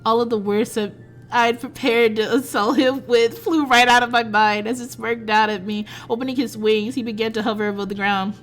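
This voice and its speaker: Excitable woman streamer reading a game aloud. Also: fairly high pitch, moderate pace, faint music behind. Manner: excitable, flustered, breaks into giggles.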